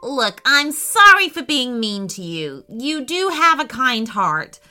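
A woman voicing a bossy young female dragon in a children's story, loud and emphatic. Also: sits high, sing-song, slow, faint music behind, voice pushed up, full character voice. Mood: remorseful.

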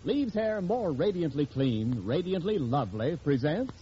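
A man's voice: a little high, big pitch swings, unhurried, warm tone, faint music behind. A man announcing a sponsored 1940s radio show in scripted period style. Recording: muffled old radio broadcast recording.

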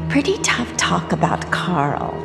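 Woman acting an anxious gangster's moll, audio drama, expressive pitch, music underneath. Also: takes it slowly, vocal fry, full character voice. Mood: uneasy. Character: worried gangster's girlfriend having a secret affair. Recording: echoey room, music underneath.

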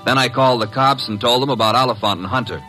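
A male actor plays a young, wisecracking American private eye's right-hand man in a vintage radio mystery. The voice sits in an ordinary male register. He is brisk, wry and gently mocking. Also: medium pitch, over music, natural voice, rough recording. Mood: matter-of-fact narrating. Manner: wry, sardonic, breezy. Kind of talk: old-time radio drama acting and narration.

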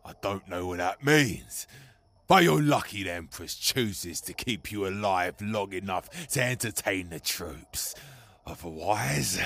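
An actor playing a boastful, menacing furred beast general with a gravelly, husky voice in a fantasy audio drama. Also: male voice, sits low, fairly bright tone, exaggerated voice. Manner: pompous, blustering.

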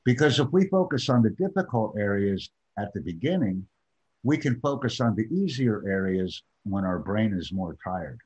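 A man leads an exam-prep class, his delivery measured and emphatic. His voice is slightly husky and the sound is dull and lo-fi. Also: fairly low pitch, big pitch swings, darkish tone. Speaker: IELTS teacher. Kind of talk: classroom instruction.

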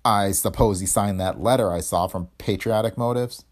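A man's voice: lowish voice, big pitch swings, fast talker, a little mellow, slightly rough, full character voice. A man voicing a cocky, sardonic, hard-headed investigator in a hardboiled detective story, wry and tough-talking. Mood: mocking. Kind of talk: hardboiled detective audiobook dialogue.

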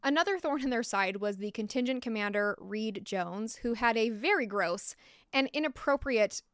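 A woman's voice: fairly high pitch, animated, normal speed, fairly bright tone, clean tone, no room sound. An American woman hosting a podcast, narrating a true story with blunt, opinionated asides from a close mic. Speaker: podcast host.

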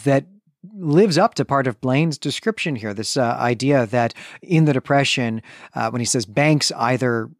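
A man at an ordinary pitch talks through ideas earnestly in a casual podcast chat, often tagging his points with a questioning "right?". The recording is dry and close, with no room echo. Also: normal speed. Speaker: podcast co-host. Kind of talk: casual literary discussion.

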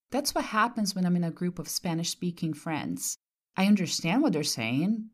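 A woman podcast host from Brazil, a native Portuguese speaker, telling a measured, reflective personal monologue. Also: on the low side, darkish tone.

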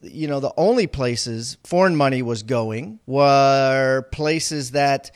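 A man's voice: medium pitch, takes it slowly, smooth. A man hosting a podcast interview, talking conversationally with many fillers. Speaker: podcast host.

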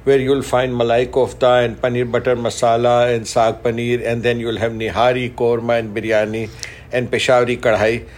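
A man's voice: mid-range voice, little pitch movement, moderate pace. A middle-aged man from Pakistan and India, a chef and restaurant owner being interviewed, who talks with enthusiasm and repeats words as he lists things. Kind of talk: interview guest.

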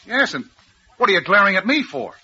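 An actor playing an earnest, puzzled crime-fighting hero with an American accent, urgent and questioning, in 1940s radio style. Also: male voice, sits high, very animated, brisk, bright, forceful tone, a little hiss or hum, heavily acted. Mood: alarmed protest. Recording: muffled old radio recording.

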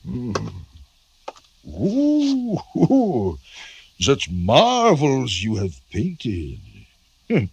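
A man's voice: medium pitch, wildly swooping pitch, extremely slow, long pauses, a little mellow, a little hiss or hum, full character voice. An actor playing a domineering Russian general and stern, suspicious father in a pompous, theatrical old radio drama style. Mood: mellowing, firm.

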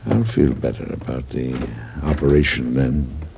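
An actor playing a gentle, kindly older president in an old-time American radio drama, speaking with courtly tenderness. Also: male voice, a bass voice, expressive, unhurried, gravelly, heavily acted. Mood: weak, gentle. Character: gentle, kindly older president, devoted husband, later weakening. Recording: dull, noisy vintage radio recording.

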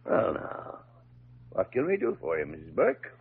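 A man acting an older senior hospital doctor in a 1940s radio drama, gruff but fair, speaking in a halting, folksy, emphatic way. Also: mid-range voice, huge pitch swings, slight background noise, heavily acted. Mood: businesslike. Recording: dull old radio recording.

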